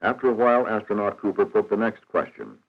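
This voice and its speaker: Male documentary narrator, very deep and measured, 1960s educational style, narrow lo-fi vintage film sound. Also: expressive. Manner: formal, measured, matter-of-fact. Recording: dull, band-limited 1960s film soundtrack.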